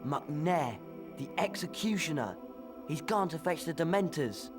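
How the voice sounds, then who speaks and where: An earnest and brave teenage boy wizard in a video game. He speaks with urgency over loud music. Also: fairly high pitch, expressive, measured pace. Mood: earnest, tense.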